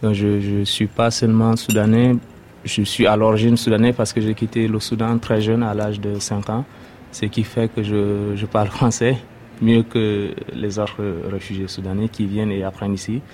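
A young man from Sudan, native Arabic speaker, giving a hesitant personal testimony with many pauses. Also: lowish voice, flat delivery, darkish tone, slight background noise. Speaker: interviewee, refugee.

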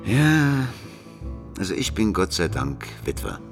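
An actor playing an awkward, sentimental widowed police detective in a German radio crime drama, halting and wistful, with a deep gravelly voice. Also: male voice, sing-song, exaggerated voice. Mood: awkward, nostalgic. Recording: loud guitar music under voice.

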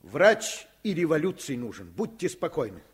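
A man's voice: a little high, big pitch swings, normal speed, slightly rough, exaggerated voice. An actor playing a principled doctor and caring father in a radio play, earnest and firm. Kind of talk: Soviet radio play acting.

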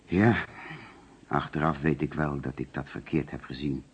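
An actor playing an uneasy, defensive insurance agent who explains himself hesitantly under police questioning in a radio play. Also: male voice, a bass voice, steady tone, moderate pace, breathy edge, full character voice. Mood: uneasy, explaining. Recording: dull old radio recording.

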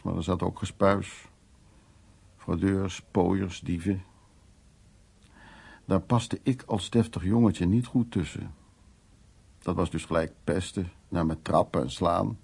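An elderly gentleman in a radio play, with a low, husky voice full of gravel. He is a wry old charmer who tells of his past in short, unhurried fragments. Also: exaggerated voice. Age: 70s or older. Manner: unhurried, fragmentary, reminiscing storytelling. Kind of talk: naturalistic radio drama monologue and dialogue.

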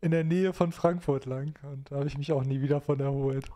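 Mellow-voiced wry co-host, male. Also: mid-range voice, steady tone, brisk. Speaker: co-host of a live online talk show. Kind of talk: casual chat.

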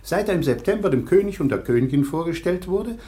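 Man acting an animated, exasperated schoolteacher. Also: on the high side, fast, natural voice. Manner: formal, indignant, dismissive. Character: exasperated, skeptical, strict male schoolteacher and guardian. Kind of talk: German radio play acting.

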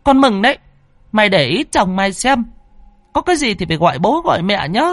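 A man's voice: sits high, very animated, a little fast, fairly bright tone, no echo, voice pushed up. A man voicing a bossy, scornful older village wife who scolds and gives curt orders in an audio story. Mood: irritated, scolding.

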